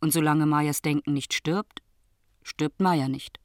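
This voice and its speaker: A performer playing a grave, reflective narrator in a literary radio drama, speaking in measured, short statements. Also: medium pitch, fairly even intonation, slight background noise, lightly acted. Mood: subdued, reflective. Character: grave, reflective narrator recounting a dying friend.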